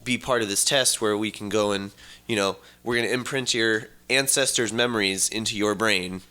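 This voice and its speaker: A man in casual conversation on a podcast, explaining in a halting way and repeating words. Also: lowish voice, steady tone, bright, forceful tone. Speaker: podcast host. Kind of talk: casual chat.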